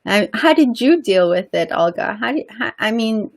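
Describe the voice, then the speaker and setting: A woman's voice: a little high, expressive, moderate pace, vocal fry. Woman, slight Persian accent, Persian native speaker, earnest, repeats words, interview guest. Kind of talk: casual interview chat.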